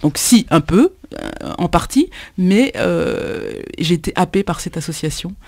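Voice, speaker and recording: A woman from Kinshasa, a musician guesting on a radio show, talking in a halting way with many pauses and repeated words. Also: fairly low pitch, lively intonation, a little mellow, no echo. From Congo, Kinshasa. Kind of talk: radio interview, hesitant conversational speech.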